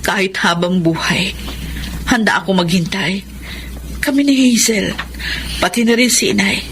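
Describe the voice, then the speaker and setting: A woman's voice: mid-range voice, normal speed, background noise, close to an everyday voice. A woman playing a devoted, faithful wife in a Filipino radio drama. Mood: tender, hopeful.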